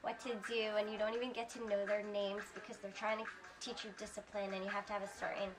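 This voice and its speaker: A woman's voice: mid-range voice, flat delivery, fast, low-quality recording. A woman in her twenties from Brooklyn, a former soldier, speaking sincerely and conversationally with some fillers. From Brooklyn, New York. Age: 20s. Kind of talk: earnest interview testimony.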